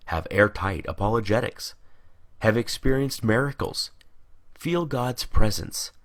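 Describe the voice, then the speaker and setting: A man with a fairly low voice reads a scripted monologue. His intonation rises and falls widely as he presses each point with deliberate emphasis. The delivery is questioning and rhetorical, aimed straight at the listener. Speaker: narrator.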